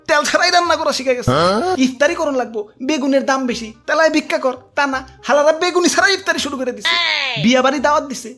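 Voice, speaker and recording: A woman's voice: sits high, a bit bright. A woman voicing a proud, brash young beggar in a comic cartoon, ranting in streetwise slang with a Bangladeshi accent.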